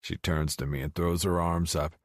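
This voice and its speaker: A man's voice: low voice, flat delivery, moderate pace, dry recording, studio quality. A man narrating a first-person romance audiobook.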